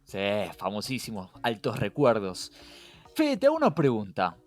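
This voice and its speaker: A man's voice: mid-range voice, wildly swooping pitch, normal speed. Rioplatense man hosting a podcast over music. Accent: Rioplatense Spanish. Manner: emphatic, rhetorical questioning, addresses listener directly. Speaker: podcast co-host. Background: background music.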